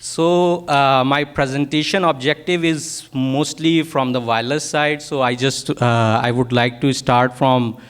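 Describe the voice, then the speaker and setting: A man with a Pakistani accent and Urdu as his first language presents technical material to a workshop. His voice is clean and smooth, though his delivery is halting as he searches for words. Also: mid-range voice, steady tone. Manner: halting, hesitant, searching for words in non-native English.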